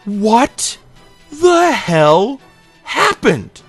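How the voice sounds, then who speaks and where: Male storyteller as exasperated grandson demanding answers, raised pitch, loud exclamations, comic anecdote with music. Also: big pitch swings, extremely slow, husky, heavily acted. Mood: incredulous outrage. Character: baffled, outraged younger self, grandson in anecdote.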